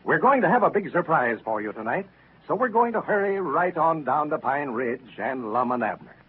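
A man's voice: a little high, very animated, normal speed. A man with an American accent announcing in 1930s radio style, speaking folksily and directly to his listeners. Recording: tinny, lightly noisy 1930s radio recording.

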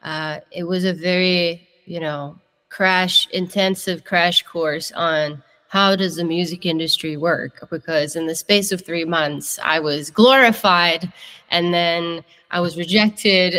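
A woman's voice: lowish voice, fairly even intonation, measured pace, fairly bright tone, in a large hall, rough recording. A young woman from Italy, a singer-songwriter telling her story in a casual interview, chatty and candid.

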